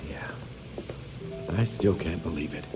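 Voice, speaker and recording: Casting: older military colonel, very deep voice, weary and reflective, plain-spoken game drama. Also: male voice, measured pace, loud music behind the voice. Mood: sombre, reflective. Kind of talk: video game cutscene dialogue.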